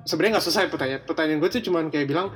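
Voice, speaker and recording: A man's voice: fairly high pitch, racing, a bit bright. A man with a Jakarta Indonesian accent hosting a casual podcast chat, informal and often repeating short affirmations. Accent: Indonesian, Jakarta colloquial. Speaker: podcast host. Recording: echoey hall sound, music behind.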